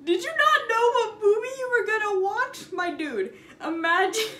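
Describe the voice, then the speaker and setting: A young woman casually telling an anecdote in a chatty, animated, sarcastic way, stretching words for emphasis. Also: very high voice, normal speed. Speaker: storytime vlogger. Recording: roomy echo, noticeable background noise.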